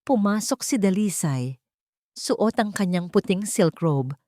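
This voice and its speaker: A woman's voice: medium pitch, expressive, measured pace, dark tone, no room sound, clean studio sound. A woman with a Filipino accent reading a scripted story as a dramatic audiobook narrator.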